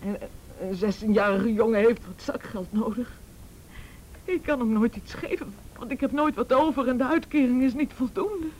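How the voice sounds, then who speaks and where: A woman plays an anxious, pleading mother in a radio drama. Her voice is high and emotional, halting as she defends herself. The recording carries a faint rumbling noise. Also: a little mellow, close to an everyday voice, rough recording. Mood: anxious, defensive. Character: distraught, defensive, pleading mother of teenage son. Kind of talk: Dutch radio play acting.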